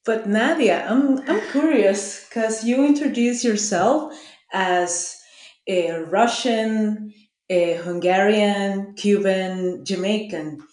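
A woman co-hosting a podcast who speaks English as a second language, often pausing with "uh" as she searches for words. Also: mid-range voice, darkish tone. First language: not English. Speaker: podcast co-host. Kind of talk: casual interview hosting.

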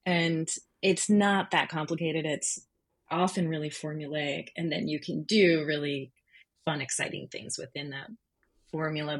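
Lower-voiced woman in conversational interview. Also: husky. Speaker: guest, freelance journalist.

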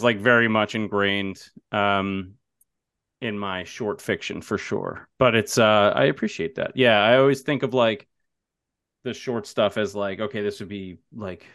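A man, a horror writer who teaches English, chatting on a podcast, laughing easily and telling quick anecdotes. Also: fairly low pitch, fairly bright tone, clean tone, no echo, clean studio sound. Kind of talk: casual podcast chat.

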